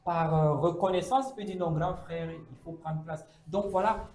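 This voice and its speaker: A high-pitched man talks through an idea on a campus talk show. He keeps the tone mellow and stops often on fillers. The room around him rings with echo. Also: steady tone, moderate pace. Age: 30s. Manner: hesitant, many fillers, acts out quoted speech. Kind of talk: casual debate on a campus show. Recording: echoey room.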